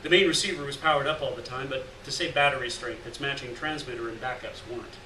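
A man's voice: mid-range voice, quick pace, bright, forceful tone. A middle-aged man reading a short story aloud at a live event, expressively and acting out the dialogue. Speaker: reader at a live literary event. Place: small indoor gallery, live event. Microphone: gooseneck mic at lectern, far camera. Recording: roomy, distant mic, faint background noise.

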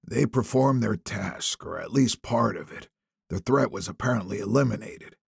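A man's voice: on the low side, lively intonation, normal speed, gravelly, slightly rough, no echo, studio quality, exaggerated voice. A man voicing an anxious, deferential confidant of a boss, speaking carefully and hesitantly in an audiobook. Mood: guarded, tense. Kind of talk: audiobook character dialogue.

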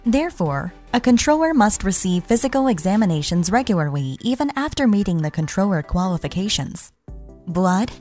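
A woman's voice: medium pitch, darkish tone. Woman narrating an explainer over music. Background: background music.

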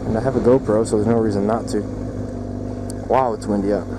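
A man's voice: fairly low pitch, steady tone, brisk. A man with an American accent giving casual, rambling, joking commentary while riding a motorcycle. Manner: joking, irreverent, self-deprecating. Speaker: motovlogger. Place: outdoors riding a motorcycle in city traffic. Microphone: external mic worn while riding. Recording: loud engine and wind noise. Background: motorcycle engine, traffic and wind.

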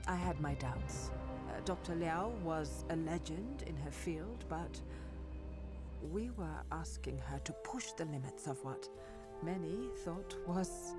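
An actress playing a grave, reflective woman giving measured interview narration in an animated short. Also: low-pitched. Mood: wary. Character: reflective, wary woman witness in documentary interview. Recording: loud music under the voice.

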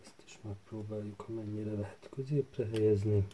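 A man with a very dark, soft-edged voice talks through a DIY job at his workbench. His delivery is flat and halting, as he thinks aloud while working with his hands. There is faint noise behind him. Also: fairly low pitch, low-quality recording. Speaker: DIY tutorial host. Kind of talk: casual hands-on tutorial narration. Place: indoor workbench. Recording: faint background noise with handling clicks.

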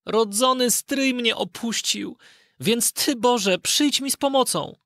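A man doing young Aladyn, high and eager. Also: slow, gravelly, pushed and bright, dry recording, studio quality, full character voice. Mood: desperate. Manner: eager, high and urgent calling. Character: young male hero, bold boy in a fairy tale.

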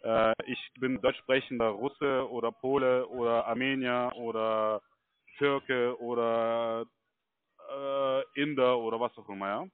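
A man from Germany speaks at an ordinary pitch over a dry, tinny phone connection. His talk is casual and halting, circling back on phrases and checking that he is followed. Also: fairly even intonation, clean tone, dry recording. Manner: halting, repetitive, insistent checking tags. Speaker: call center recruiter. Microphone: telephone.

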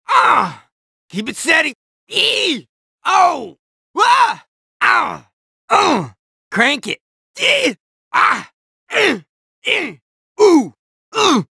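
A cocky, hyped-up racing car from a video game who lives for loud music. The voice is forceful and swings widely in pitch, with a slow, drawn-out pace. The delivery is brash, slangy and full of exclamations. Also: male voice, vocal fry, dry recording, clean studio sound, heavily acted. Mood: excited exertion. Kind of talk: video game character barks.

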